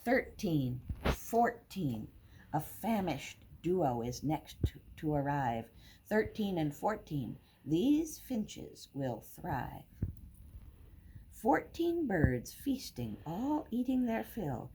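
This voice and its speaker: A woman's voice: on the low side, sing-song, takes it slowly. An older woman reading a picture book aloud to young children like a teacher, adding simple explanations and counting along. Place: indoor classroom with wooden furniture. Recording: noisy room recording with clicks.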